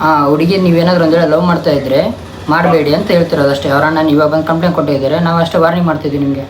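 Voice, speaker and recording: A man's voice: a little high, steady tone, rapid-fire, poor audio. A young man making casual prank phone calls, speaking informally and insistently. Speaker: prank caller, vlog co-host. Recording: loud, clear background noise.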